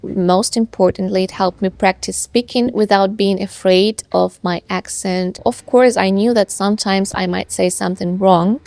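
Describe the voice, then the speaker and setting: A young woman vlogger whose first language is Russian speaks earnestly to camera, now and then restarting or repeating a word. Her voice has a gravelly edge at an ordinary pitch. The sound is close and dry, with no room around it. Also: fairly even intonation, normal speed, a little mellow, no room sound. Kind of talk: casual talk to camera.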